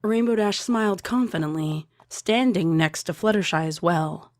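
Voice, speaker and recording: A woman's voice: on the low side, moderate pace, a little mellow, no echo. A woman narrating a scripted story as a fan fiction reader. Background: music.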